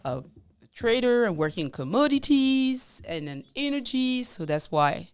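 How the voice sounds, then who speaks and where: A woman from Congo who grew up in France, speaking with a French accent as a podcast co-host, telling her story in a lively way, laughing and acting out what people said. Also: fairly high pitch, measured pace, smooth, no echo. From Congo, raised in France. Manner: lively, laughs often, acts out quotes. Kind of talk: casual interview storytelling.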